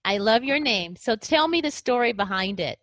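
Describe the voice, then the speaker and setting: Woman host, bright higher voice, dry close sound, cheerful and affirming. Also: expressive, moderate pace, dry recording. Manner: warm, enthusiastic, affirming. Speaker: podcast host. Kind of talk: interview hosting. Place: lives in Guam.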